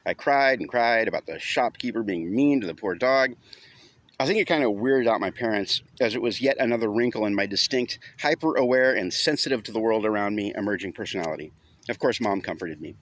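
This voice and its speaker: A man's voice: fairly low pitch, fairly quick, a bit bright. Conversational man telling a personal story. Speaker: audiobook narrator.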